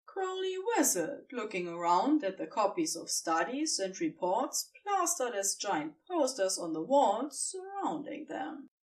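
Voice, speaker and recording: Slow, lilting woman narrator, slightly distant. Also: mid-range voice, sing-song, darkish tone. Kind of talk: scripted fan fiction narration.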